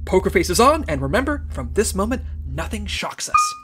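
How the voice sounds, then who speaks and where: An actor playing a spy team leader who gives dry, wry commands. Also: male voice, sits high, wildly swooping pitch, moderate pace, background noise.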